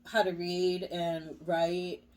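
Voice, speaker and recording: A woman's voice: on the low side, flat delivery, slow pace, darkish tone, rough recording. A young woman vlogger chatting casually, full of "like" and "you know". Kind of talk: casual chat.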